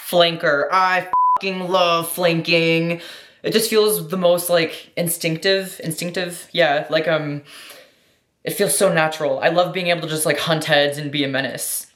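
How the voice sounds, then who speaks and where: A woman's voice: low voice, a bit bright. American woman doing a casual q&a, super effusive, swears a lot, says like constantly, roomy echo. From United States. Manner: effusive, slangy, heavy swearing, frequent "like". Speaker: vlogger answering questions. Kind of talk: casual Q&A chat.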